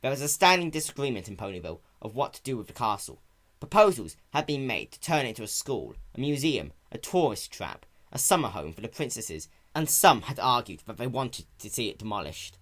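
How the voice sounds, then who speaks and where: Animated male story narrator. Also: medium pitch, a little fast. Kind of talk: dramatic narration of fan fiction.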